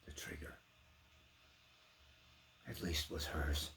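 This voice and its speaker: A man giving a theatrical, declamatory poetry recitation with sharp contrasts between hushed and loud delivery. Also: takes it slowly, slight background noise. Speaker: poetry reader.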